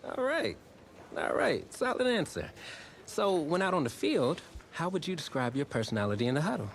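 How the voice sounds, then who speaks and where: Eager male reporter, fairly high creaky voice, excitable, video game interview, faint background noise. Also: normal speed. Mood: pleased. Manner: eager, animated.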